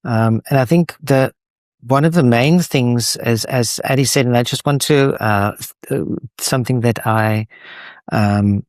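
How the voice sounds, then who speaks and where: A man with a South African accent, a native Afrikaans speaker, answering interview questions in a halting way with many restarts. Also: mid-range voice, no room sound, studio quality. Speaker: guest, association co-founder.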